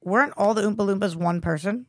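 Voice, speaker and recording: Young female co-host, excitable and sarcastic, mid pitch, dry close mic. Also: fast talker, no room sound. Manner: enthusiastic, sarcastic, swears freely. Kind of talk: casual chat.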